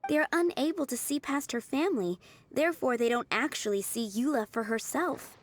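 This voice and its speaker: Kind, high-voiced townswoman. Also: lively intonation, fairly quick, a little hiss or hum. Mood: earnest, caring. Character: kind, earnest young townswoman, loyal and caring. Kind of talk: game dialogue.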